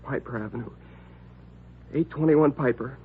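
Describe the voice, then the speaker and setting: A man's voice: mid-range voice, moderate pace, a little hiss or hum, natural voice. A young man with an American accent playing a frightened, pleading car thief, whining and desperate, in a 1950s radio drama. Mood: pleading, defensive. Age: young adult. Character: frightened, pleading twenty-year-old male car thief. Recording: dull, lo-fi old radio recording.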